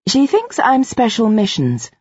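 A woman voicing a cold, controlled artificial intelligence in a human body, deliberate and expressionless, for an audiobook. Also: on the high side, wildly swooping pitch, darkish tone, husky, no room sound, dull audio, voice pushed up, full character voice. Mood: flat, insistent. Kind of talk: first-person audiobook narration.

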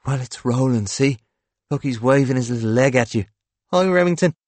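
A man voicing an excitable, scheming father with a coaxing manner in an audiobook story. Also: mid-range voice, normal speed, no echo, pitched above the performer's own voice, close to an everyday voice. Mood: eager coaxing.

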